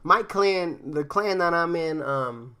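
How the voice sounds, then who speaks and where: A man's voice: fairly high pitch, lively intonation, takes it slowly, bright, forceful tone, clean tone. A man casually chatting with viewers on a game stream, rambling with fillers and restarts, a bit congested from a cold. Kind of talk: casual live-stream chat.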